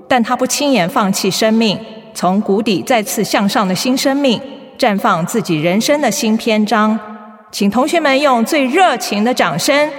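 An actress playing a formal campus lecture host, giving a ceremonial introduction in a radio drama. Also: female voice, fairly high pitch, close to an everyday voice. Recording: long hall reverb, crowd behind.

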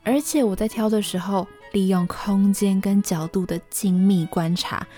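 A woman's voice: mid-range voice, moderate pace, mellow, soft background music, lightly acted. A woman with a Taiwanese Mandarin accent playing a precise, matter-of-fact, nerdy young woman in a podcast radio drama. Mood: precise, confident.

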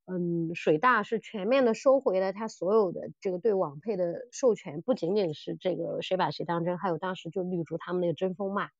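A woman co-hosting a casual podcast chat, telling stories in an animated way and often repeating her phrases. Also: fairly low pitch, brisk, hardly any pauses, dry recording, muffled recording. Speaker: podcast co-host. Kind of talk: casual chat about audio dramas.

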